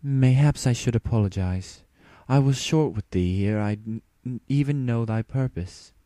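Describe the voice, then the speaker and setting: A man's voice: medium pitch, normal speed, warm tone, no room sound, pitched above the performer's own voice, natural voice. A man voicing a proud, anxious younger royal sister mare in a fan story, with formal archaic speech. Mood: troubled, formal. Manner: formal archaic diction, indignant, stammering.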